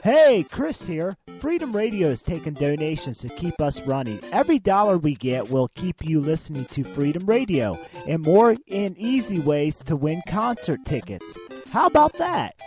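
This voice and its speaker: A man's voice: high voice, a little mellow. A man hosting a radio station, delivering a promo announcement straight to listeners.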